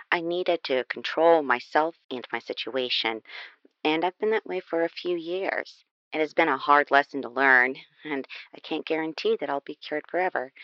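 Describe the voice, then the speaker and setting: A sorry, confiding woman reading a letter drama, fry in the voice, dry but slightly muffled. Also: a bass voice, fairly bright tone, no echo. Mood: apologetic, confessional. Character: apologetic, confiding convicted woman writing to her attorney.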